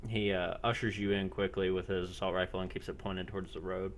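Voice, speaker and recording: A man narrating an improvised role-playing game as game master, halting and pausing between phrases. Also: deep voice, level tone, a little hiss or hum. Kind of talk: improvised narration of a tabletop-style role-play.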